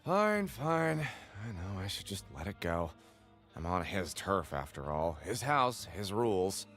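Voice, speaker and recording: A man's voice: sits low, big pitch swings, measured pace, a bit bright, faint music behind, full character voice. An actor playing an anxious, aggrieved salesman in a video game, flustered, wordy and theatrically indignant. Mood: exasperated, resigned.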